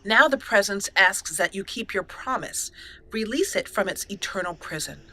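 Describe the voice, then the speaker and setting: A woman narrates her video game playthrough like a story, at a measured pace. Her voice is bright and clear. A faint hiss of noise sits under it. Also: mid-range voice, lively intonation. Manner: measured storytelling, with an occasional chuckle. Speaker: let's play host.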